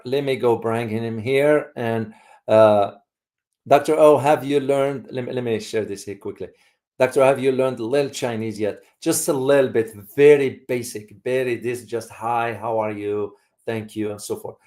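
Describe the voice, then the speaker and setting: A man from Morocco hosting a casual live community discussion, speaking haltingly and often repeating his words. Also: medium pitch, a little mellow. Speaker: host.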